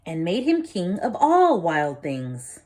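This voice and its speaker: A woman reading a picture book aloud, expressive, dropping low and soft for dramatic lines. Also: slow. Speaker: storybook reader.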